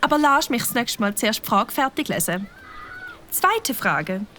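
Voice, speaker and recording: A woman's voice: on the high side, very animated, measured pace, bright, forceful tone, slight background noise, exaggerated voice. A sing-song fox mother. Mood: cheerful. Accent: Swiss German. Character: warm fox mother, motherly quiz host.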